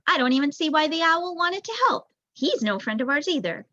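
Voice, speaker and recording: A woman's voice: sits high, sing-song, a little fast, sharp tone, no echo, voice pushed up, exaggerated voice. A young woman voicing a skeptical, curious young boy mouse in a storybook, questioning and plaintive, on a dull recording.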